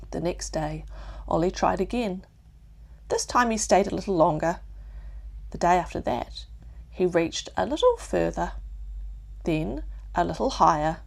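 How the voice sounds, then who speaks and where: A woman with a New Zealand accent narrating a calm bedtime story for children, gently and with deliberate pauses. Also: lowish voice, vocal fry.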